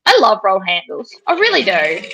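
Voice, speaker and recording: A loud, excitable woman whose voice leaps around with animated swings in pitch. Her tone is bright and forceful as she chats over a headset on a video call from her sewing room. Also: moderate pace, slight background noise. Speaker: sewing pattern designer hosting a live sew-along. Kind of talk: casual live-stream chat. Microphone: headphones with mic on a video call.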